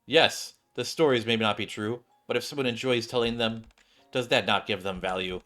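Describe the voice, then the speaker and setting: A man's voice: mid-range voice, normal speed, a bit bright. A man doing a casual game playthrough, reading the story aloud and commenting as he goes, with music behind him.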